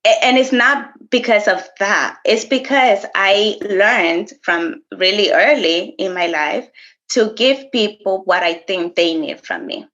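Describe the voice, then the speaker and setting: Animated woman chatting, slightly muffled. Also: mid-range voice, unhurried. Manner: animated, laughs often, code-switches briefly. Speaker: podcast co-host. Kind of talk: casual conversation.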